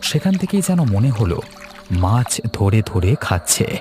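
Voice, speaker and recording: Lively dramatic male storyteller. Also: on the low side, measured pace, music in the background. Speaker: narrator. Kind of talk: scripted ghost story narration.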